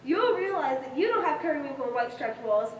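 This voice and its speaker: A woman's voice: sits high, very animated, fairly quick. A young woman on stage playing a self-assured, fussy girl who boasts in an emphatic, childlike, matter-of-fact way. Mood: gushing, boastful. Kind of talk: stage monologue in a one-act play. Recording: roomy, distant stage recording with noise.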